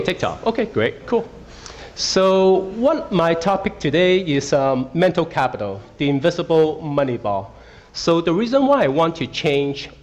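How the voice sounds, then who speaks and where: A middle-aged man from Hong Kong giving a talk at a school, often asking the audience questions and checking in with "okay". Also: medium pitch. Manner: interactive, asks audience questions, frequent okays. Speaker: guest speaker. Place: school auditorium.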